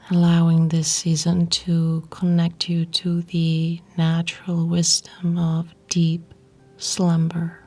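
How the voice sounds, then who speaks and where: A woman guiding a yoga nidra meditation in a breathy, whispery, husky voice, soothing and unhurried, with pauses between short phrases. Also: fairly low pitch. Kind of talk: guided meditation narration.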